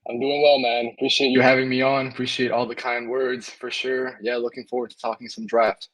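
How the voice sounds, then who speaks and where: A young man from Spain, a guest on a basketball podcast, talking in a relaxed way. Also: mid-range voice, a little fast, low-quality recording. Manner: relaxed, lots of "you know" fillers. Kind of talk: casual interview.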